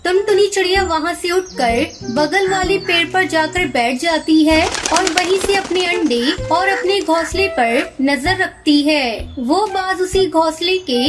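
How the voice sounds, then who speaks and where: A woman's voice: extremely high pitch, a little slow, hardly any pauses, music in the background. A woman narrator reading a scripted moral story for children.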